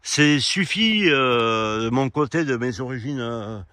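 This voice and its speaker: A man giving a rambling, argumentative opinion monologue, speaking directly to his listeners in an indignant way and halting to search for words. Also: medium pitch, lively intonation, sharp tone, dry recording, clean studio sound. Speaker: amateur video commentator. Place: quiet spot outdoors.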